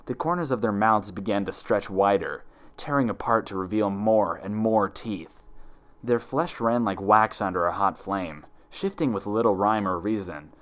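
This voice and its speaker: A man reading dark fan fiction aloud as dramatic, scripted horror narration. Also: lowish voice.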